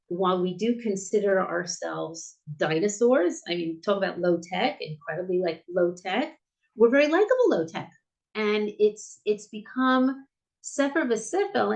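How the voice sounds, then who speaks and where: A woman's voice: mid-range voice, vocal fry, poor audio. Chatty American woman, middle-aged. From United States. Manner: chatty, lots of restarts and fillers. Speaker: bookstore owner, interview guest. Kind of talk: casual interview answers.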